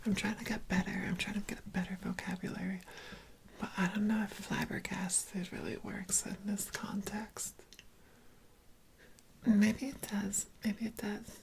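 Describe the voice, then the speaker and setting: A woman's voice: mid-range voice, little pitch movement. Whispering, hesitant woman in ASMR roleplay. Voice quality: breathy whisper with fry. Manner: hesitant, halting, repeats phrases softly. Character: awkward, shy female organ harvester prepping a living patient.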